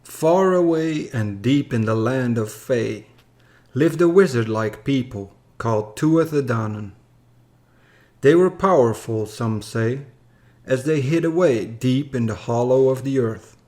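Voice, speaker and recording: A man reading a scripted poem as a storyteller, in a measured, formal recitation. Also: mid-range voice, expressive, darkish tone. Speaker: reader of a poem. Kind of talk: scripted poetry narration, storytelling.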